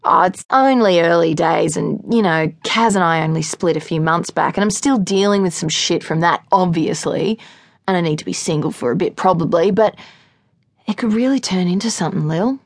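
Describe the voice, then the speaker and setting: A woman's voice: on the low side, normal speed, creaky, no echo. A woman puts on an oblivious young man who rambles on casually. He sounds lightly baffled, in a dry, close sound.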